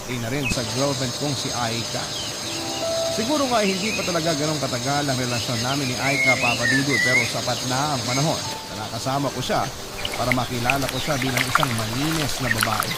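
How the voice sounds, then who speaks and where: A man's voice: mid-range voice, lightly acted. A man playing an earnest young boyfriend, narrating his own troubles in a reflective, scripted radio drama style. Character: earnest young boyfriend confessing doubts about his girlfriend. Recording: loud music bed under the voice. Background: loud background music.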